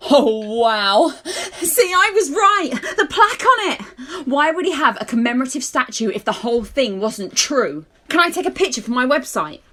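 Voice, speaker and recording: A woman's voice: high voice, big pitch swings, moderate pace, bright, forceful tone, exaggerated voice. A woman playing an earnest, spacey hippie conspiracy theorist in an amateur radio play, dreamy and rambling. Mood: eager.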